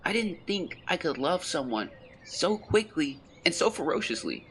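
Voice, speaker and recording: A man's voice: fairly high pitch, big pitch swings, moderate pace, fairly bright tone, slight background noise, poor audio. An actor playing an ashamed, grieving young man of the 1920s, reading a confessional letter in an audio drama with solemn, literary phrasing. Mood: tender, fond.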